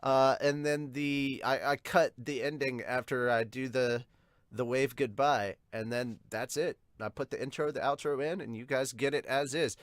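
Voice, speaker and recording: A man's voice: mid-range voice, steady tone, moderate pace, dry recording. A man hosting a reaction channel, chatting casually and informally in a self-deprecating way. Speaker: host of an anime reaction channel. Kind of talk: casual vlog sign-off, chatty.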